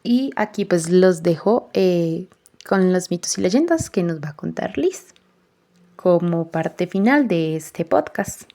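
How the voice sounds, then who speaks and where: A young woman hosting a student podcast, narrating in an earnest, measured way, with frequent "pues" fillers. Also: fairly low pitch, expressive, warm tone. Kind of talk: reflective voice-over narration.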